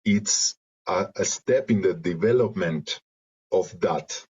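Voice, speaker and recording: A man from Argentina with a Spanish accent, reflecting in an interview in halting, searching phrases over a video call. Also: deep voice, darkish tone, husky. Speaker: interview guest, creative and therapeutic facilitator. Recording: dull lo-fi video call audio.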